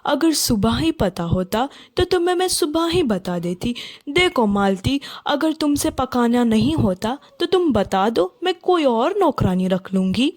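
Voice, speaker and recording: Wealthy cartoon mistress played by a woman: haughty, sharp, scheming, highish voice, unhurried delivery. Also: very animated, no room sound, full character voice. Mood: stern, scornful. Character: scheming, bossy wealthy mistress scolding her maid. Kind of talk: Hindi children's cartoon story acting.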